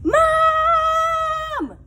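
A woman voicing a bossy five-year-old boy in a picture book, shouting whiny demands in a tantrum. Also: very high voice, sing-song, very slow, very noisy, pitched above the performer's own voice, full character voice. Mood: tantrum outrage.

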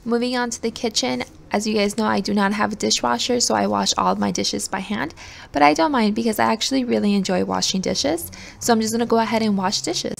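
A woman's voice: on the high side, fast, gravelly, soft background music. A young adult woman narrating her home vlog in an easygoing, chatty voice-over. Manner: easygoing, chatty, repeats words for emphasis. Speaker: vlogger.

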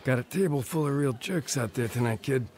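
A man's voice: mid-range voice, brisk, a little mellow, lightly acted. Breezy, slangy tough-guy bouncer, played by an actor. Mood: breezy, chummy. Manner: slangy, chummy. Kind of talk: radio drama acting.

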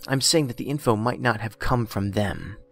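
An actor playing an analytical, pedantic federal investigator in an audio drama, with precise, clipped, probing speech. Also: male voice, mid-range voice, moderate pace, music in the background, close to an everyday voice. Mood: pointed.

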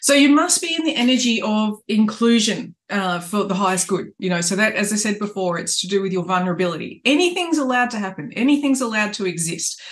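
A middle-aged woman speaks at an ordinary, middle pitch on a home webcam. She coaches earnestly and spiritually, often breaking off and restarting her sentences. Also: lively intonation, a little fast, few pauses. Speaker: life coach. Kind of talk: reflective coaching talk to camera. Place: indoors in a small home room.

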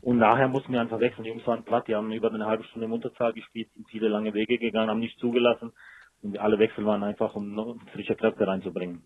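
A man's voice: on the low side, flat delivery, fast. A man speaking as a football coach in a post-match interview over a telephone line. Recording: muffled, dry telephone line.

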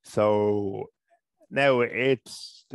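Irish man with a stutter, gravelly. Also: fairly low pitch, fairly even intonation, no room sound. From Ireland. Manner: stuttering, halting with restarts. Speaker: guest. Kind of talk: casual interview talk. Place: small room at home. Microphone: headset mic.

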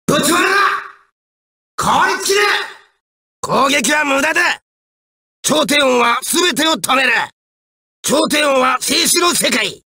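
An actor playing a hot-tempered male ice-wielding villain in an anime video game, with a coarse, raspy, gravelly, snarling delivery. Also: very high-pitched, lively intonation, a little slow, long pauses, bright, forceful tone, dry recording, shouting, studio quality, full character voice. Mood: battle fury.

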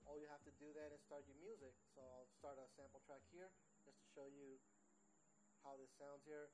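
A man's voice: medium pitch, little pitch movement, fairly quick, a little mellow, a little hiss or hum, low-quality recording. A man in his forties talks casually and plainly, explaining audio equipment as he demonstrates and reviews it. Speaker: product reviewer and mobile DJ. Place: small indoor room, seated at a table. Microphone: standalone audio recorder on the table.

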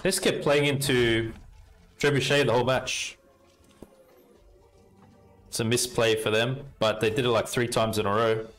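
A young Australian man casually commenting on his card game and chatting with viewers, relaxed and talkative. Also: mid-range voice, moderate pace, pauses often, soft background music. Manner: relaxed, chatty, talks to chat while playing. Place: indoor room at a desk.

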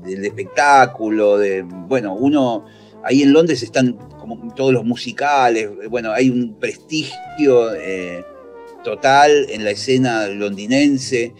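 A man's voice: mid-range voice, slow, faint music behind. A middle-aged man with an Argentine accent, hosting an interview over a video call and asking loose, hesitant questions. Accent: Argentine Spanish. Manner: relaxed, hesitant questions with fillers. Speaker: radio host. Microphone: video call with a mic.

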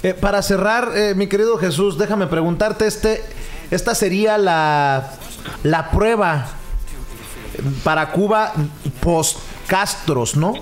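Slow, hesitant male talk host. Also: sits high. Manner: deliberate, hesitant questioning. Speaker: show host. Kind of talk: political talk show moderation.

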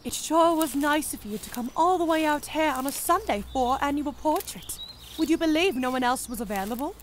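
A gracious family mother acted by a woman chats politely in a high voice. It is a comic-dub character with faint birds and outdoor noise behind. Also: expressive, a little fast. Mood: pleasant, grateful.

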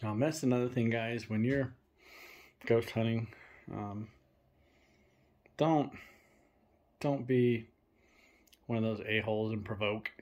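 A young man filming a ghost-hunting vlog in a dark abandoned farmhouse, talking to spirits and loudly challenging them. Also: medium pitch, fairly even intonation, slow, long pauses. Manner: loud provoking challenges to the spirits. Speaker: paranormal investigator.

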